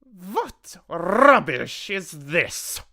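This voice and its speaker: A storyteller voicing a tyrannical female head witch, screeching commands in furious, drawn-out words. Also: mid-range voice, sing-song, a bit bright, pitched above the performer's own voice, heavily acted. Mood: scornful, angry.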